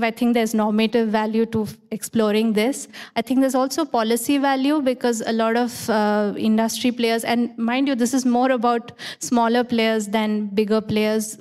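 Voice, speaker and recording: A woman with a somewhat high voice speaks in a measured, thoughtful way as a conference panelist. She often stops to rephrase herself. Her answer rings with the long reverb of a large hall. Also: darkish tone, clean tone. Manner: measured, self-correcting, thoughtful. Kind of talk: academic Q&A answer.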